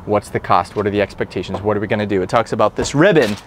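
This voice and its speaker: A man's voice: fairly low pitch, very fast, slight background noise. Chatty nasal-voiced man on a job site. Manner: talkative, plainspoken, upbeat. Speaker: electrician and instructor. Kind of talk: casual on-the-job explanation.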